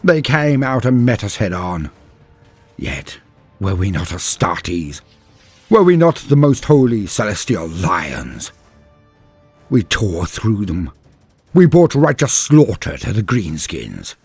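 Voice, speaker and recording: A man playing a grave, embittered space-marine veteran, solemnly narrating a dramatic war story in a husky voice with deliberate, heavy pauses. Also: fairly low pitch, sing-song, a little mellow, soft background music, heavily acted. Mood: proud, defiant. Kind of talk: dramatic scripted first-person story narration.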